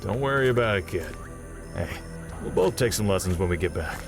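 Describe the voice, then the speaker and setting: A man plays a wry, down-to-earth American sergeant in a wartime audio drama. His voice is on the low side and he grumbles sardonically. Music is clearly audible behind him. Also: lively intonation, a little fast. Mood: friendly.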